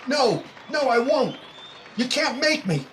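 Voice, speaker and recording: A man plays a cornered young killer in an old-time radio thriller, his voice pitched extremely high and tense. He speaks slowly and defiantly, with panicked emphasis, over some background noise. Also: expressive, a bit bright, heavily acted. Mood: desperate, threatening. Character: desperate, panicked young male killer with a grenade. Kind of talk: 1930s radio drama acting.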